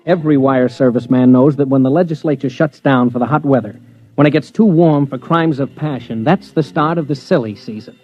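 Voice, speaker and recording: An actor playing a brash, persistent young American reporter in a 1950s radio drama, wry and breezy. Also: male voice, mid-range voice, a little fast, a little mellow, lightly acted. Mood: wry narrating. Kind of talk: 1950s radio drama acting and first-person narration. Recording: dull old radio recording, faint music.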